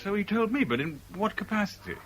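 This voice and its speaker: A man's voice: high-pitched, lively intonation, quick pace, slight background noise, rough recording. An actor playing an anxious, suspicious traveller searching for a missing woman in a 1970s radio drama. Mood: polite, curious.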